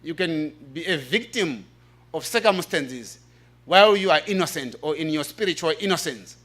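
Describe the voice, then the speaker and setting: A man's voice: fairly high pitch, lively intonation, normal speed, a bit bright. A man with an African accent giving an earnest, emphatic conference presentation in a preaching style, repeating key phrases. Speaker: presenter reading a paper at a church health forum.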